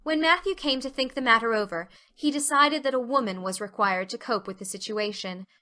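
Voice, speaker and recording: A woman's voice: a little high, fairly quick, sharp tone. A woman narrating an audiobook story as a scripted dramatic reading.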